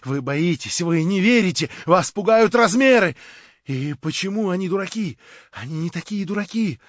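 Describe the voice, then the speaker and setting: Guy putting on a breathless desperate young schemer, high and lively, dull dry audio. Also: breathy edge, no room sound. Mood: feverish. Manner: breathless, rapid, pleading, half-delirious. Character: frantic young male conspirator, feverish and pleading.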